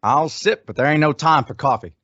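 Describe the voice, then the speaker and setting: A man's voice: a little high, brisk, fairly bright tone, dry recording. A man acting a loyal, worried deputy sidekick with folksy rustic speech in an old-time radio western. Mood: earnest. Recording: dull, dry lo-fi audio.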